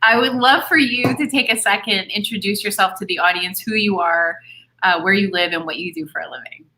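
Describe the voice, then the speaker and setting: A woman's voice: mid-range voice, brisk, sharp tone, slight background noise, poor audio. A woman hosting an interview series, earnest and upbeat, speaking over a video call. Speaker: host.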